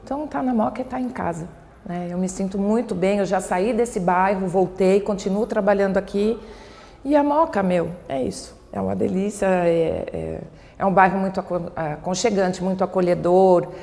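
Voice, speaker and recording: A woman's voice: mid-range voice, normal speed, creaky, warm tone. A Brazilian woman with a São Paulo accent, talking conversationally in a documentary interview. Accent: São Paulo Brazilian Portuguese. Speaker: local resident interviewee. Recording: long reverberant hall, faint background noise.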